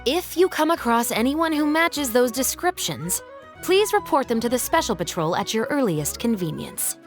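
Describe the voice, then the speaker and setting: Strict game cop lady, official and clipped, high voice, lots of intonation. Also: very animated, normal speed, faint music behind. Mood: stern, official. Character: strict, formal young female police officer. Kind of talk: video game voice acting.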